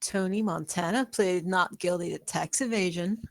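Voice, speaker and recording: A woman reads a coaxing, plain-spoken lawyer in a comedy table read. Her voice sits a little low and sounds dry, with matter-of-fact delivery. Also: normal speed, no echo, natural voice. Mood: patient, coaxing.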